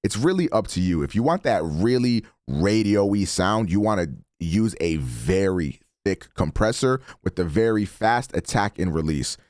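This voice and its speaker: A man with a deep voice casually walking through his microphone settings as a streamer, relaxed and chatty. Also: unhurried, hardly any pauses, no echo, studio quality. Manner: relaxed, chatty, self-correcting. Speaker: streamer explaining mic settings. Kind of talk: casual tutorial walkthrough. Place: home streaming setup. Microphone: studio broadcast mic.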